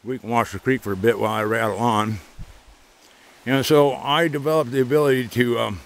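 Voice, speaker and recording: A man's voice: mid-range voice, steady tone, brisk. A man talking casually to the camera outdoors by a creek, rambling between asides to his dog. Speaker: service dog trainer and vlogger. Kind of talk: rambling outdoor vlog chat. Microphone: handheld camera.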